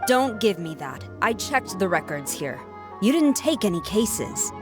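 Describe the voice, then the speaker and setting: A woman's voice: mid-range voice, big pitch swings, measured pace. A woman playing a stern, formal police commander in a video game, speaking with authority over background music. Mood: stern, questioning. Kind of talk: scripted video game dialogue. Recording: music behind the voice.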